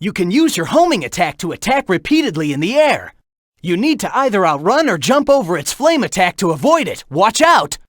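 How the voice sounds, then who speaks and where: A brash young male hedgehog hero from a video game, with an animated, swooping delivery. He sounds upbeat, playful and sure of himself, urgent when he calls out, in a dry, close recording. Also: mid-range voice, fairly quick, no room sound. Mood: encouraging, excited.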